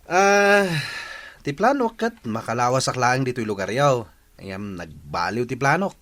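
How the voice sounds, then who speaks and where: A man's voice: medium pitch, very animated, a little slow, a bit bright. A man playing a cheeky, jovial villager in an Ilocano radio comedy drama, with an Ilocano accent.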